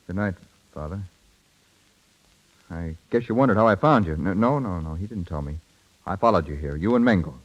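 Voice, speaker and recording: An actor playing an awkward, lovesick young husband who pleads haltingly, in a muffled 1930s radio drama. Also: male voice, deep voice, expressive. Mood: awkward, subdued. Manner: halting, stammering.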